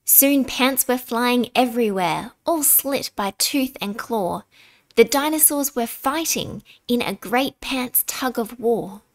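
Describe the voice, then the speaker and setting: Slow, higher-voiced woman reading a storybook. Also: expressive. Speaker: storybook reader. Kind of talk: expressive children's picture book read-aloud.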